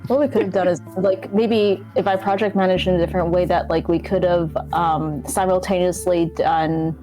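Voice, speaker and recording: A woman's voice: fairly low pitch, steady tone, normal speed, mellow, low-quality recording. A woman on a game development team, chatting casually in an interview. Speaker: game developer and project manager. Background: background music.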